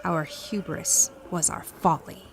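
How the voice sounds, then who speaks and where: An actress playing a grave, ancient elder of a lost civilization in an audio drama, solemn and stately. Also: female voice, fairly low pitch, fairly even intonation, takes it slowly, vocal fry, slight background noise. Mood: grave, mournful.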